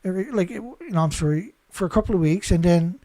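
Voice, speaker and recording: A man's voice: sits high, expressive, fast talker, dark tone, no room sound. A man reading news headlines and adding rambling, indignant commentary, often ending with "right?". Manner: rambling, indignant, many restarts and "right?" tags.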